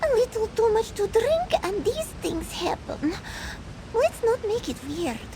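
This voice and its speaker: Very high-pitched sassy cat girl. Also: sing-song, measured pace, background noise, heavily acted. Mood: embarrassed, awkward. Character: small sassy party-loving cat woman, indignant and flippant. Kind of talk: AI-voiced video game quest dialogue.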